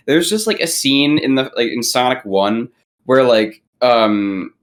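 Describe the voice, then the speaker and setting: A man's voice: medium pitch, lively intonation, unhurried. A young adult man chatting casually as a podcast panelist, circling back over his phrases and swearing freely. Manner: hesitant, repetitive phrasing, sweary. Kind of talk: casual chat about movies.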